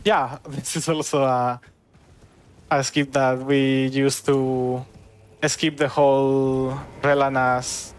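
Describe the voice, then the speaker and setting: A man with a Spanish accent explaining his speedrun while playing, halting and searching for words. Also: mid-range voice, little pitch movement, clear, resonant tone. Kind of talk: casual game commentary.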